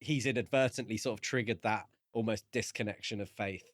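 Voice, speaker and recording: A man co-hosting a podcast, talking through a book casually in thoughtful, halting phrases. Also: fairly low pitch, dry recording, studio quality. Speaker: podcast co-host.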